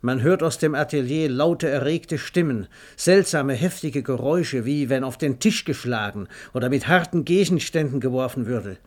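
A man's voice: medium pitch, normal speed, hardly any pauses, a little mellow. A man reads a scripted radio story in a theatrical, emphatic storytelling manner. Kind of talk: dramatic scripted storytelling narration.

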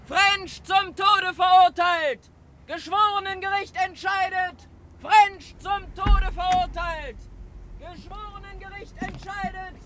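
A man's voice: very high-pitched, steady tone, takes it slowly, heavily acted. A man playing a young street newspaper seller chanting headlines in a 1960s radio drama. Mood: urgent crying. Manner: chanting, repetitive shouted cries. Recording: noisy street with car traffic.

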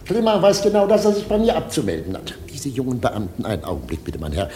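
A male actor as a proud bank official with hugely swinging intonation, in an echoing room. He is formal and officious, courteous yet quick to snap, in radio drama style. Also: medium pitch, very animated, dark tone, natural voice. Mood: irritated. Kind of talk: radio play dialogue. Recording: echoey vault-like room, faint noise.

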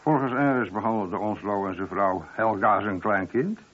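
A man's voice: lowish voice, moderate pace, fairly bright tone, husky. A man playing a chatty police sergeant in a radio drama, matter-of-fact and slightly cheeky as he reports. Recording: dull, muffled old radio drama recording.

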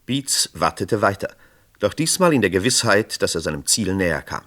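Low, expressive male crime narrator. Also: normal speed. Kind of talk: scripted crime drama narration.

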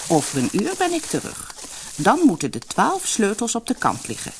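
Woman playing a stern old gatekeeper woman, animated. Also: medium pitch, moderate pace, slight background noise, pitched above the performer's own voice. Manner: commanding, mocking, serious.

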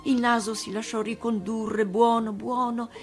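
A woman's voice: a little high, level tone, measured pace. A woman reading a children's tale expressively as an actress, with music behind her. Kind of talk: expressive storybook narration. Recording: radio reading over music.

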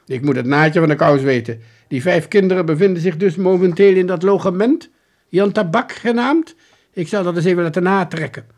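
A man's voice: high voice, brisk, a little mellow, voice pushed up. A man voicing a shrewd police detective who speaks drily and curtly. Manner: curt, commanding.

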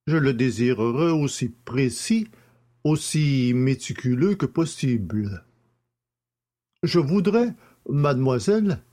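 Man playing a slow, lilting, formal examining magistrate. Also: mid-range voice, mellow. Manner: formal, deliberate, announces each question. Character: courteous, methodical male examining magistrate questioning witnesses. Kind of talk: audiobook character acting.

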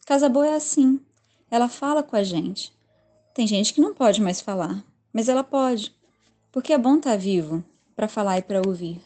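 A woman voicing a reassuring, folksy country grandmother in a storybook horror tale, with a Brazilian Portuguese accent and a calm, homely manner. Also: a little high, moderate pace, soft background music, natural voice. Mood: calm reassurance.

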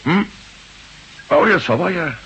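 A bewildered, groggy fellow who halts, mutters and exclaims. His voice is sharp and swings widely in pitch, in an old radio drama. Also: lively intonation, measured pace. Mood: groggy, wary. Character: confused, groggy male ex-astronaut with a sore head. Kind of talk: Dutch radio play acting. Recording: old radio recording with light hiss.

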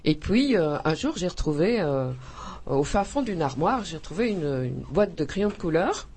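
A husky-voiced woman from Belgium describes her writing in a radio interview. She speaks with enthusiasm but stops and restarts often, and laughs easily. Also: low voice, sing-song, normal speed. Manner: warm, effusive, hesitant with many restarts, laughs often. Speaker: author.

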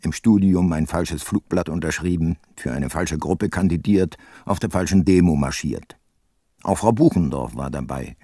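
A man's voice: a bass voice, moderate pace, mellow, slightly rough, no room sound, exaggerated voice. A man acting as an older detective who narrates his own case in a dry, ironic way in a radio play. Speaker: first-person narrator.

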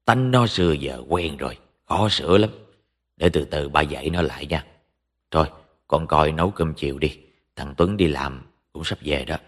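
A man voicing an authoritative older father in an audio story, blunt and measured, with a Southern Vietnamese accent. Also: rumbling low, expressive, husky, heavily acted. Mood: calm, steady. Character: stern, authoritative older father, low and steady. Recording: long hall reverb.

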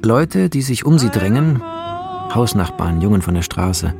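An actor playing an intense filmmaker reading shot directions and reflective monologue in a radio drama. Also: male voice, lowish voice, very animated, unhurried, creaky, music in the background, full character voice. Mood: focused, descriptive.

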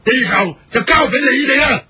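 High-pitched cackling mad professor. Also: male voice, lively intonation, normal speed, very noisy. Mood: proud, excited. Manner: cackling, boastful, theatrical. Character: eccentric older professor inventor of superhero gadgets. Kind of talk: cartoon parody radio drama.

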